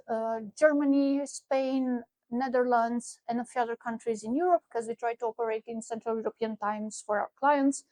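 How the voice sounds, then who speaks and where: A woman's voice: sits high, darkish tone, dry recording, low-quality recording. A middle-aged woman from Romania with a Romanian accent, giving a conference keynote in a conversational way, with frequent fillers and restarts. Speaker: keynote speaker. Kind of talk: conference talk. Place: conference venue.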